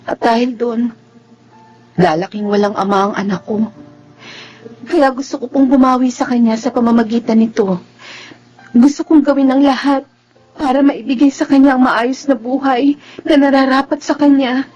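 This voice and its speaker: A woman's voice: high-pitched, steady tone, moderate pace, long pauses, darkish tone, muffled recording, faint music behind. An actress playing a proud, hurt single mother in an emotional Filipino radio drama, with a Filipino accent. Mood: earnest, pleading. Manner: emotional, emphatic delivery. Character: hurt, defiant single mother, adult woman, proud and tearful.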